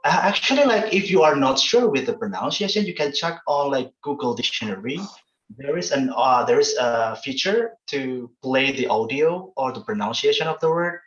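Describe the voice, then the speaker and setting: A man's voice: mid-range voice, lively intonation, moderate pace, fairly bright tone. Indonesian-accented man in an echoey room. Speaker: webinar presenter. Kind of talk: casual explanatory online talk with examples. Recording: dull, echoey online call audio.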